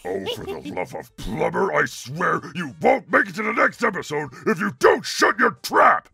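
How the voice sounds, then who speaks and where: A man's voice: high voice, big pitch swings, normal speed, pushed and bright, no echo, faint music behind, heavily acted. A man voicing a grumpy, boastful monster turtle king in a growling, sneering cartoon voice. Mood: angry. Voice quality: gravelly, growling. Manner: sneering, mocking, blustering. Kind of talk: cartoon character fan dub.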